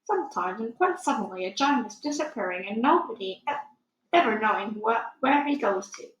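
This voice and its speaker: A woman voicing a very old, good-hearted male giant from a children's storybook, excitable and easily wounded, talking in muddled grammar and made-up words. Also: on the high side, brisk, natural voice. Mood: matter-of-fact. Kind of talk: children's storybook read aloud. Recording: echoey room.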